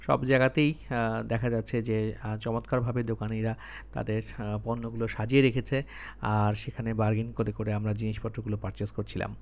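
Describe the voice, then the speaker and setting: A Bangladeshi man narrating a travel vlog in a measured, explanatory way, mixing in English words. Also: fairly low pitch, flat delivery, gravelly, a little mellow. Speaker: travel vlogger.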